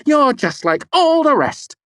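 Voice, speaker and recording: Guy doing a proud young woman bard, way higher than his own voice, loud and scornful, dry close sound. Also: very animated, a little slow, no room sound, heavily acted. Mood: furious. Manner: scornful, heated, raised voice.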